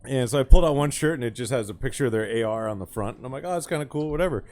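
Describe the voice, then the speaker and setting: A man's voice: medium pitch, very fast. A man who hosts a podcast, telling a story in casual chat that is full of swearing. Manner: animated, profane storytelling. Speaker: podcast host.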